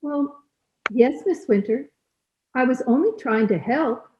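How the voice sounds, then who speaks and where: A meek maid in a radio play, acted by a woman, speaks high and mellow. She sounds deferential and apologetic, over a slightly noisy, clicky remote line. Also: measured pace, lo-fi sound. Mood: meek, hurt. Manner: deferential, protesting. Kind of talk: old-time radio drama acting.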